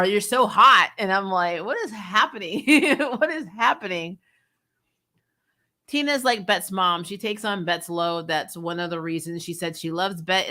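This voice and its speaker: A woman giving a casual, frank, salty and animated spoken review as the host of a recap show. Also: fairly low pitch. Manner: animated storytelling, laughs often, frank and salty. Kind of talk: casual chatty episode review, reacting to live comments.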